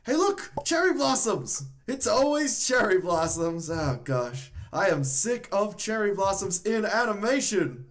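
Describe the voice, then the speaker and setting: Woman playing a gloomy old father, lively pitch. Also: unhurried, few pauses. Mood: weary. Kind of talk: improvised comedy cartoon fandub.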